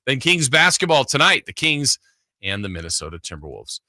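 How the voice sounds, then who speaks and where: A man's voice: medium pitch, big pitch swings, a little fast, bright, forceful tone, dry recording, clean studio sound. A man hosting a sports radio show, talking casually and thinking aloud in a conversational way. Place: radio studio. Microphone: close broadcast studio mic.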